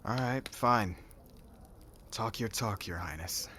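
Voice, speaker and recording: A man's voice: lowish voice, takes it slowly, sharp tone, slight background noise. A man playing a cocky, defensive young warrior who talks in casual slang and stammers a little in an audio roleplay. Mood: sarcastic, annoyed. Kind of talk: ASMR audio roleplay drama.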